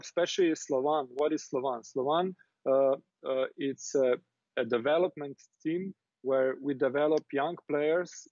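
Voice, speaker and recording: A man's voice: mid-range voice, darkish tone, no echo. Hesitant Slovenian man on a dry call. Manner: hesitant, many uh fillers. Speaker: basketball club president, interview guest. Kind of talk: remote interview answers. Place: small office indoors. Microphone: earbuds on video call. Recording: dry lo-fi video call audio.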